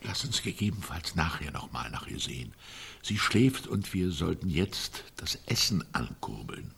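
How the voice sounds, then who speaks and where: A man's voice: low voice, sing-song, moderate pace, vocal fry, a bit bright, husky, exaggerated voice. An actor playing a grumpy, dismissive older man with a curt, sardonic way of talking in a German radio drama. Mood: brisk.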